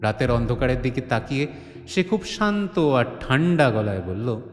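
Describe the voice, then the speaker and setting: A man narrates a scripted audio drama as its storyteller. His voice is medium in pitch and rings with echo and a long reverb tail. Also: very animated, moderate pace, soft background music. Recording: echoey reverb on the voice.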